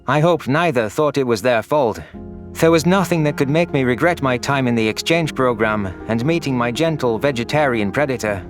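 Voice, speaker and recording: A man's voice: mid-range voice, natural voice. A man voicing an anguished, vengeful young furry alien who narrates his own audio story in an earnest, solemn, dramatic way.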